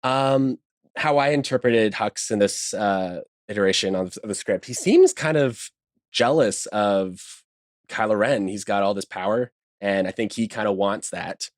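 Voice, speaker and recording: Lowish, informal man in a dry recording. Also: expressive, a little slow, dry recording. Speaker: podcast guest, voice actor. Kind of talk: casual interview chat.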